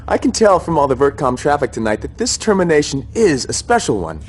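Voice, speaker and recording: A man's voice: fairly high pitch, big pitch swings, brisk. A man playing a devoted propaganda news anchor with a formal, showy announcer delivery. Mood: zealous, smug. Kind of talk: scripted broadcast acting.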